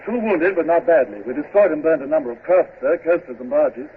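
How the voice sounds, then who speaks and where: A man playing a brisk young naval lieutenant. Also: fairly high pitch, animated, close to an everyday voice. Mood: urgent report. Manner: quick, clipped reporting. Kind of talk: 1950s radio drama acting. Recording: tinny noisy old radio recording.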